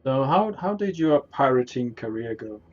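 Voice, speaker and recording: A man's voice: medium pitch, big pitch swings, normal speed, dull audio. A man hosting a casual online interview, asking curious follow-up questions. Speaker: podcast host. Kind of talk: casual interview over voice call.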